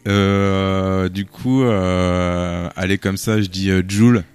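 A man's voice: low-pitched, steady tone, slow, clear, resonant tone, dry recording. A man, a musician guest, chatting casually in a radio interview in a laid-back, hesitant, slangy way. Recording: faint music behind the voice. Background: music playing quietly.

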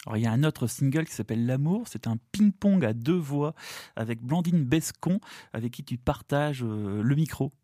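Mellow male radio host. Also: mid-range voice, expressive, moderate pace, a little hiss or hum. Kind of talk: radio interview questions.

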